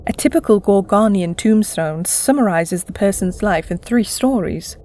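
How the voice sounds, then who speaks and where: A woman playing a kindly, learned explorer in an audio drama, speaking in a steady, gentle manner. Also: medium pitch, moderate pace, slight background noise, natural voice. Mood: reverent.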